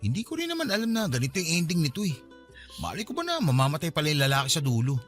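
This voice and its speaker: Quick, lively young Filipino friend. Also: male voice, fairly high pitch, faint music behind. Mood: teasing. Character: young man, casual, teasing close friend. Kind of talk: Tagalog radio drama acting.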